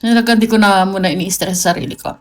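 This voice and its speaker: A woman's voice: mid-range voice, steady tone, quick pace. A Filipino woman chatting casually and playfully on a livestream, mixing in English. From Philippines. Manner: playful, chatty, mixes English into her talk. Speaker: livestream host.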